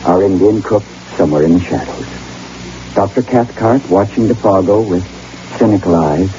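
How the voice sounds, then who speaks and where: An actor playing a nervous hunter narrating an eerie ordeal in a brooding, deliberate way for an old radio drama. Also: male voice, low-pitched, dark tone, a little hiss or hum, close to an everyday voice. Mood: tense, foreboding. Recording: muffled old radio recording over music.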